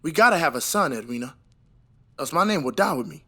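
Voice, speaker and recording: A man's voice: fairly high pitch, expressive, fairly quick, sharp tone. A young man playing an earnest, ambitious newlywed husband in an audio play set in the 1920s, with a hesitant, dreamy manner. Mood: dreamy, fervent. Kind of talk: stage play audio reading.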